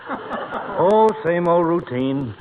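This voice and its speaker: Weary, sardonic doctor on tinny radio. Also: male voice, fairly high pitch, animated, extremely slow. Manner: dry, wordy, mock-pompous. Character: weary, sardonic middle-aged town doctor, florid wisecracker. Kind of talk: 1940s radio comedy acting. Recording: tinny old radio broadcast with hiss.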